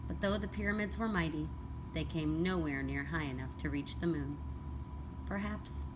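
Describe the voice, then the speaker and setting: A woman with a slightly low voice narrates a children's picture book. She tells it expressively and deliberately, like a teacher at read-aloud time. Clear background noise sits in the recording.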